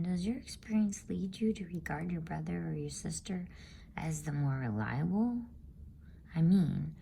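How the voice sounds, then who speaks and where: A woman voicing an elderly male professor in a bedtime storybook reading, calm, logical and measured, in a soft, breathy voice. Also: lowish voice, a little hiss or hum. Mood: measured, probing. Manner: measured, musing, pedantic.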